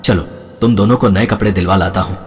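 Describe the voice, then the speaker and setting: A man's voice: lowish voice, fairly even intonation, quick pace, lightly acted. A man voicing a caring father in a cartoon story who coaxes and reassures his daughters. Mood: gentle. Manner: coaxing, reassuring. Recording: reverberant with soft background music.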